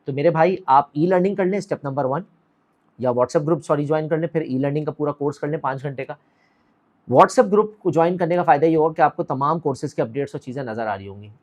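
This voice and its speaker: A man's voice: fairly high pitch, a little mellow. A middle-aged man speaks straight to camera as the founder of an academy. He urges the viewer on and keeps putting questions to them in a direct, motivational way. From Pakistan.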